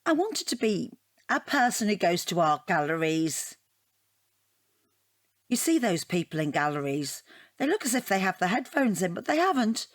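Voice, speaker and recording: Chatty divorced art student, earnest, bit flustered, rambles on, really dry close recording. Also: female voice, mid-range voice, big pitch swings, moderate pace, no room sound. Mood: earnest, rambling. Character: chatty, self-deprecating divorced woman taking an art appreciation class. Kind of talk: naturalistic audio drama dialogue.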